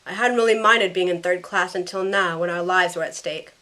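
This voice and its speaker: A woman's voice: on the low side, a little fast, pushed and bright. A woman playing a caring young heroine who narrates her own ordeal in a scripted first-person audio drama. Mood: anxious narration.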